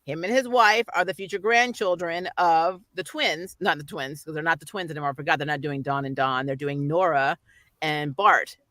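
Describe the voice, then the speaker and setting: Bright-voiced, emphatic woman. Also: sits low, moderate pace, no room sound. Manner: exasperated, emphatic ranting, laughs often. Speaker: host of a pop culture review show. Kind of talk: casual, opinionated TV recap chat with a co-host.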